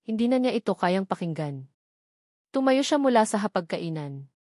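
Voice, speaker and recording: A woman's voice: medium pitch, sing-song, moderate pace, a little mellow, no echo, studio quality. A woman with a Filipino accent reads a scripted romantic drama as a story narrator.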